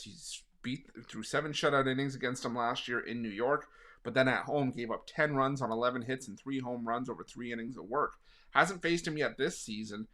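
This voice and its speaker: Hoarse man giving betting picks. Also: mid-range voice, pushed and bright. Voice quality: raspy. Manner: conversational, frequent fillers. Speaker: sports betting analyst. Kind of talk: sports betting pick video.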